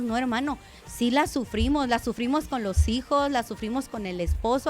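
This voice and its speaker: A woman pastor giving a conversational radio sermon, often addressing listeners as brother. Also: a little high.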